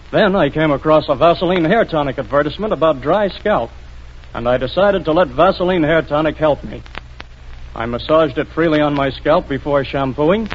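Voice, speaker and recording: A man giving a scripted testimonial in the style of a 1940s radio advertisement. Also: a little high. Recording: dull, noisy vintage radio recording.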